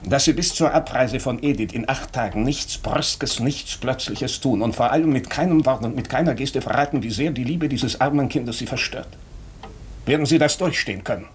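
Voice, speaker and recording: Male actor as probing radio-drama doctor: earnest, insistent, medium-pitched, faint noise on the recording. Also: lightly acted. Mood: grave, calmer. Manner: urgent, insistent, deliberate rhetorical questioning. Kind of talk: German radio drama acting.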